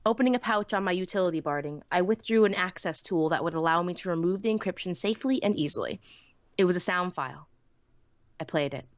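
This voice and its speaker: A woman's voice: on the low side, fast, no echo, studio quality. A woman narrating a scripted first-person story dramatically, as in a fan audiobook.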